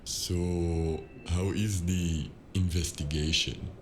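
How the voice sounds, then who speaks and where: A man playing a low-voiced, stiffly formal assistant. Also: fairly even intonation, slow, a little hiss or hum. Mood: grudgingly impressed. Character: formal, polite, faintly disapproving male assistant juggling masters. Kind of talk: noir audio drama acting.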